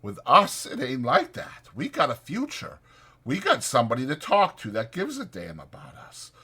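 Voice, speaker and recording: Man acting a raised-pitch, bossy ranch drifter. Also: huge pitch swings, gravelly, bright, forceful tone, slightly rough, heavily acted. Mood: proud, resolute. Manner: brisk, insistent, colloquial delivery. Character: small, sharp, irritable male ranch drifter, bossy yet protective.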